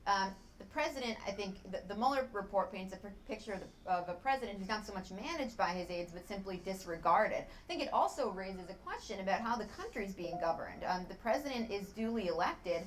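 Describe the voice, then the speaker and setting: A woman's voice: mid-range voice, lively intonation, fairly quick, few pauses, rough recording. A woman giving political analysis as a journalist on a studio television panel. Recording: TV broadcast with faint ambient noise.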